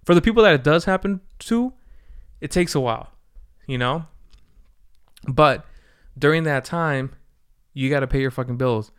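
A thirty-year-old man talks through a casual solo podcast update in a smooth, clean-toned voice. He rambles, restarts his sentences and swears freely, with a tired edge from poor sleep. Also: fairly high pitch, normal speed, pauses often. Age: 30s. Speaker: podcast host.

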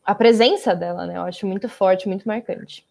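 A woman's voice: medium pitch, brisk, vocal fry, darkish tone. A woman interviewer who chats casually and murmurs agreement while her guest talks.

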